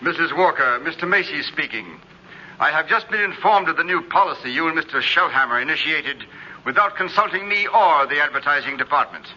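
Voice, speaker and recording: An actor playing a pompous, blustering business boss with formal, emphatic delivery in a 1950s radio drama. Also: male voice, on the high side, animated, fairly quick, bright, forceful tone, exaggerated voice. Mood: stern, grudging. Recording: muffled noisy old radio recording.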